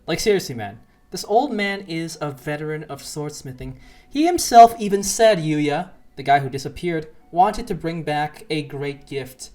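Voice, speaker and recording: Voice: medium pitch, darkish tone. A reviewer giving casual, slangy video commentary on a game story, sarcastic and exasperated as they rant.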